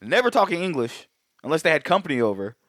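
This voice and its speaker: A young man talks loosely on a podcast, circling back and restarting his thoughts. His voice sits a touch high, and the sound is dry and close with no room. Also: sing-song, fast talker, fairly bright tone, dry recording. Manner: rambling, many restarts and fillers. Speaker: podcast co-host. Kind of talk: casual chat.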